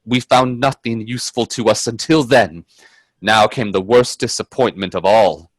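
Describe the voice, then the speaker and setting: A man playing an earnest, anxious gentleman companion in a radio play, sounding dramatic and grave. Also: fairly low pitch, no room sound, lightly acted. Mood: grave narration. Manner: earnest, hesitant in dialogue, measured in narration.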